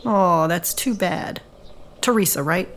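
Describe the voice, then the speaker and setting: An actress playing a sharp-tongued, unimpressed woman in an audio drama, with a dry, sarcastic manner. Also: fairly low pitch, takes it slowly, a little hiss or hum. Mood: sarcastic, taunting.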